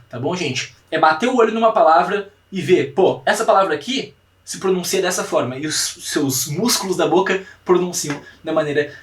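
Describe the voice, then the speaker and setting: A young Brazilian man teaching a language class online, repeating words slowly to drill pronunciation and checking in with his students often. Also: a little high, fairly bright tone, distant mic. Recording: echoey room, voice from off the mic.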